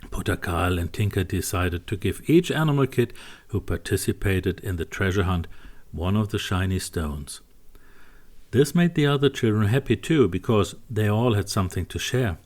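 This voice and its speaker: A man narrating a scripted bedtime story for young children in a simple, deliberate way. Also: lowish voice, lively intonation, a little mellow.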